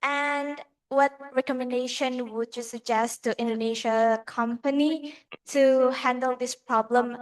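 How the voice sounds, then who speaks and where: A woman in a lecture audience asks a formal question. Her voice sits a little high. She is polite and courteous throughout. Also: steady tone, unhurried, rough recording. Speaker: audience member asking a question.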